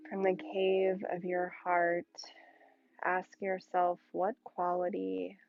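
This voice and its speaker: A woman yoga instructor leading a guided meditation calmly and soothingly, in short phrases with long pauses, on a muffled recording. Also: lowish voice, creaky, no echo.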